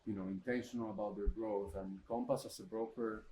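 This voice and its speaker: A man's voice: lowish voice, steady tone, a little fast, warm tone, slight background noise, rough recording. A man hosting a podcast interview, upbeat and chatty, often restarting his phrases. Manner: enthusiastic, stumbling restarts. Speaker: podcast host.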